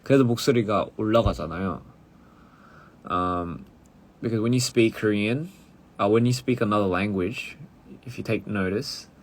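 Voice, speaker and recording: Young male musician, low gravelly voice, fast and rambling, casual stream chat. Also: a little hiss or hum. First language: English. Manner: rambling, halting, full of "like" and restarts; switches between English and Korean.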